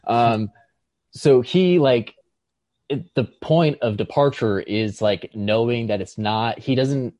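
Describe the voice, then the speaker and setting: A man co-hosting a podcast, casually discussing writing in a halting, tentative way, circling toward each point. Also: mid-range voice, dark tone, no room sound. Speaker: podcast co-host.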